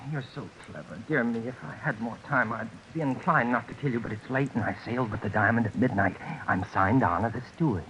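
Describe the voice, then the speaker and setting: An actor playing a fussy, courteous, murderous schemer in an old-time radio suspense drama. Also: male voice, mid-range voice, a little fast, few pauses, a bit bright, close to an everyday voice. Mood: politely menacing. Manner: prim, courteous, hesitant. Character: fussy, polite, soft-mannered murderous male schemer. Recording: dull, hissy vintage radio recording.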